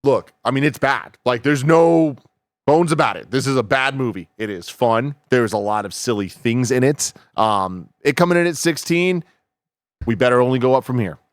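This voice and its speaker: Guy on a podcast panel, average pitch, blunt opinions, very dry recording. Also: lively intonation, normal speed, no room sound. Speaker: podcast co-host. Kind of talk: casual panel chat.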